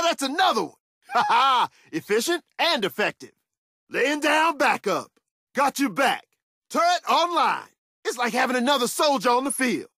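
A cocky, battle-ready soldier from a video game. He has a lively, widely swinging pitch and throws out short, punchy lines with swagger. The sound is dry and close. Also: no room sound, exaggerated voice. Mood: exultant. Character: tough, confident male soldier, upbeat battlefield fighter. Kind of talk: video game combat barks.

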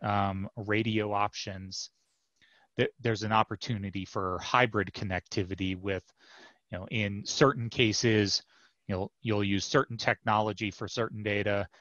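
A man's voice: on the low side, a bit bright, no echo. A man in his thirties, a product manager, answering interview questions over a video call in a thoughtful way with many fillers and restarts. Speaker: software product manager, guest. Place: home office with bookshelves. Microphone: headset mic on video call. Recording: dull dry headset audio.

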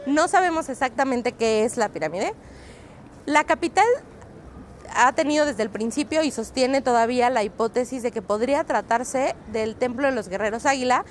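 A woman's voice: a little high, slight background noise. A woman in her thirties with a Mexican accent, a reporter explaining at length in a street interview, fluent but with frequent "eh" fillers. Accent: Mexican Spanish. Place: outdoors on a busy city street. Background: faint street ambience.

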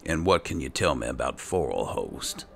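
A man's voice: rumbling low, very animated, moderate pace. A man giving casual roleplay commentary over a video game, musing to himself in a laid-back way. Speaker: gaming video host. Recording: clear game ambience noise behind voice. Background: video game ambience.

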